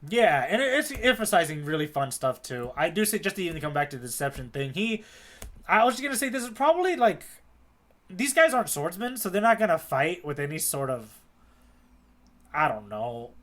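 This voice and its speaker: A man co-hosting a podcast in casual, informal, slangy discussion with an animated delivery. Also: on the high side, normal speed. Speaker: podcast co-host. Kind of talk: casual manga discussion chat.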